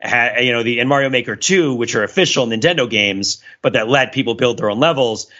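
A man's voice: mid-range voice, brisk, pushed and bright, dull audio. A man co-hosting a podcast, explaining things in a casual, discursive way and often checking in as he goes. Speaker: podcast co-host.

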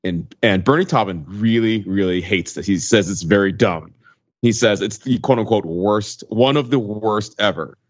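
A man's voice: fairly low pitch, normal speed, no echo. A man in his forties chatting casually as a podcast panelist, giving his opinions in an informal, conversational way. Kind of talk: casual chat. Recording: dull lo-fi sound, very dry.

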